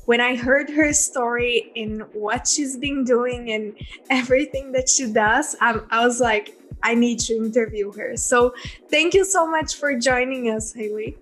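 A woman's voice: a little high, a little slow, a bit bright, faint music behind, low-quality recording. A woman hosting a podcast, introducing her guest and asking questions in an enthusiastic way. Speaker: podcast host. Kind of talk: podcast interview.

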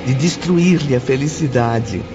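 A man's voice: medium pitch, slow, noisy background, close to an everyday voice. A man narrating a spiritual radio drama in a solemn, grave and emphatic scripted style. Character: solemn, compassionate male narrator of a spiritual tale. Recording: dull lo-fi radio drama with music.

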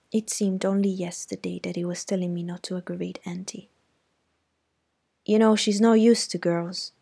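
A woman's voice: medium pitch, creaky, darkish tone, slightly rough. A woman reading aloud voices an earnest teenage boy who talks to his younger sister in an eager, confiding way.

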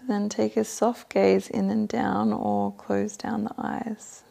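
Creaky, mellow woman guiding meditation. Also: medium pitch, little pitch movement, slow. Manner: soothing, gentle instructional delivery. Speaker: guided meditation facilitator. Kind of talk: guided meditation.

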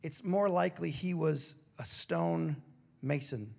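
A man preaching a sermon with a throaty, hoarse voice, moving between emphatic declarations and casual, joking asides. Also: fairly high pitch, slow, darkish tone. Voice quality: gravelly, throaty. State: hoarse after singing. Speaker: pastor.

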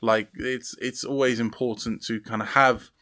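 A man talking casually as a podcast guest, halting and repeating words as he thinks. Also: mid-range voice, steady tone, bright, forceful tone. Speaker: podcast guest, audio fiction creator. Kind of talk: casual chat.

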